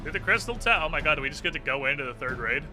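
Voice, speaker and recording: Sarcastic higher-voiced man streaming games. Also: lively intonation, very fast. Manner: sarcastic, mock-dramatic, profane. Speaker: game streamer. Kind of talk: casual gaming commentary. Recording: music playing behind. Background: game music.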